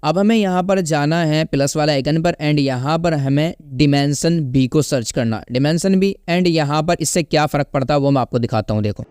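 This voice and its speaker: A man's voice: fairly high pitch, moderate pace, hardly any pauses, a little mellow, clean tone, no echo, studio quality. A man with an Indian accent giving a casual, instructional step-by-step app tutorial, often checking in with listeners. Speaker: tutorial host. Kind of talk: casual step-by-step app tutorial, Hindi mixed with English words.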